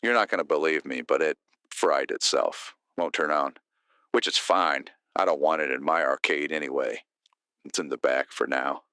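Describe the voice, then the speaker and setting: A plain-spoken male arcade owner as an actor plays him with an extremely low, forceful voice. He gives his regretful account in a dry-sounding radio drama interview. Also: normal speed, no room sound. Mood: flat, dismissive.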